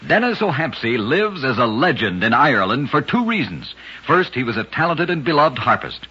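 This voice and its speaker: A man with a husky voice giving dramatic scripted narration for an old radio show. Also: mid-range voice, sing-song, moderate pace, pushed and bright. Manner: dramatic storytelling delivery. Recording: dull lo-fi old radio recording.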